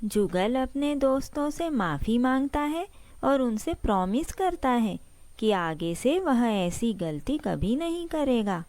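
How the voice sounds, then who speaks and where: A woman's voice: on the high side, sing-song, slow pace, darkish tone, dry recording. A woman narrating a scripted children's cartoon story.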